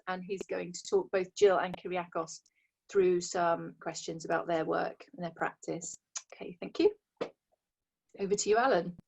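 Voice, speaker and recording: A woman presenting an arts event, speaking politely and in a measured way as she introduces the next speaker. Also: mid-range voice, low-quality recording. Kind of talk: formal introduction.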